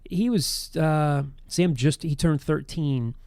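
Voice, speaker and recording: A man in his thirties hosting a casual sports podcast, hoarse from getting over an illness, telling rambling, self-deprecating stories. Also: a little high, slow pace, a little mellow, dry recording, clean studio sound. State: losing his voice after being sick. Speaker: podcast host.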